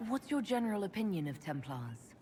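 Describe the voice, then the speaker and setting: An actress playing a composed, inquisitive mage leader in a video game, asking measured, direct questions. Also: female voice, medium pitch, very animated, dark tone, slight background noise, natural voice. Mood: calm, curious. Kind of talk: video game dialogue acting.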